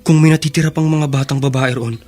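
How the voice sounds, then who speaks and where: An actor playing a protective, reassuring older brother in an earnest Filipino radio drama style. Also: male voice, a little high, steady tone, fast, mellow, close to an everyday voice. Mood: serious.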